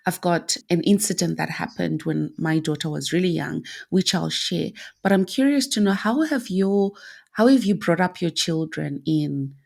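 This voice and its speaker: A woman from Zimbabwe in her thirties, a mother talking calmly and reflectively in casual conversation. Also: fairly low pitch, moderate pace, gravelly. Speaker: mother and guest.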